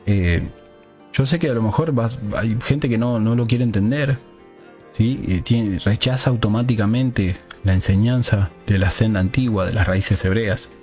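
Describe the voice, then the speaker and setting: A man's voice: lowish voice, moderate pace, a little mellow, soft background music. A man preaches a teaching sermon in a didactic way, often checking in with listeners through short rhetorical questions.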